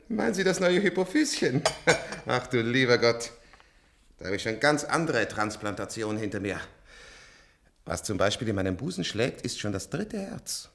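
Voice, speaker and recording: An ancient man kept alive by transplants, sardonic and imperious. His delivery is theatrical and highly animated, sweeping up and down with bitter irony. The style is that of a science-fiction radio drama. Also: vocal fry, full character voice. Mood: sardonic, amused. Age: older. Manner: theatrical, chuckling, ironic, drawn-out delivery. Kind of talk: German science-fiction radio play acting.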